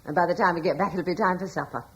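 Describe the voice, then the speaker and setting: An actress playing a gentle, softly persuasive wife in a radio drama. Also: female voice, fairly low pitch, rapid-fire, breathy edge.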